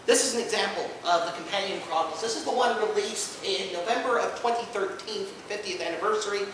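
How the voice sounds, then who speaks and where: A man giving an informal panel presentation to an audience, an enthusiastic explainer who speaks haltingly with many asides. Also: sits high. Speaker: convention panelist presenting audio drama releases. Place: indoor convention panel room. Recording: echoey room from far off, noisy.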